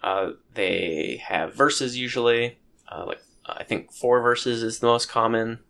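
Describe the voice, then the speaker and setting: Podcast co-host, male, low gravelly voice, hesitant and thoughtful, casual chat. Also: unhurried, pushed and bright. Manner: thoughtful, hesitant, many ums and restarts.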